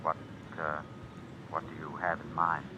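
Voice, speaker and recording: An actor in an old radio drama playing an anxious editor being blackmailed, halting, nervous and evasive. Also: male voice, very deep voice, completely flat delivery, pushed and bright, full character voice. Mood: uneasy, cornered. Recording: dull, noisy old radio recording.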